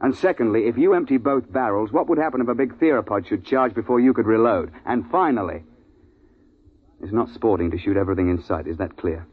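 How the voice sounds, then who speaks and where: An actor playing a gruff, no-nonsense big-game guide in a 1950s radio drama, brisk, colloquial and authoritative. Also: male voice, fairly low pitch. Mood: angry scolding. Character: gruff, no-nonsense British big-game safari guide recounting his hunt. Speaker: narrator and lead character. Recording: tinny 1950s radio broadcast, music behind.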